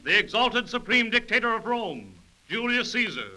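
A stately royal herald, proclaims with pomp in an extremely high voice. It is old radio drama, with loud brass music on the 1930s recording. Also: male voice, big pitch swings, normal speed. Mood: pompous. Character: formal, ceremonious royal court chamberlain announcing guests.

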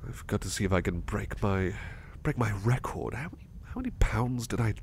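A man playing a gentle, anxious, sleepy male servant in a soft ASMR roleplay, speaking hesitantly and repeating his words. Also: on the low side, lively intonation, brisk, a little hiss or hum. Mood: earnest, reflective. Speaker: roleplay voice performer.

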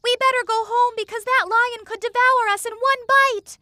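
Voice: very high voice, steady tone, normal speed, sharp tone, a little hiss or hum, full character voice. An actor voicing a sensible but nervous young animal in a children's cartoon, earnest and precise.

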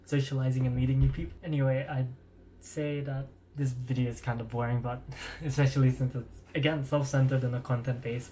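A teenage Australian man with a relaxed, chatty, self-deprecating manner, talking to camera in a small bedroom through a wired earphone mic. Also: medium pitch, slight background noise. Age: teens. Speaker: vlogger. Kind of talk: casual vlog talk to camera. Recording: music playing underneath.

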